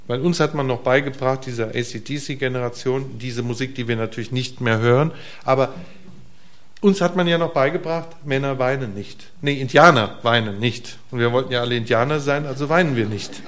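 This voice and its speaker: A middle-aged man preaching a casual sermon to a congregation in a church, asking them questions and swinging between emphatic bursts and quiet, soft asides. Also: mid-range voice, a little fast. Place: church hall before a congregation.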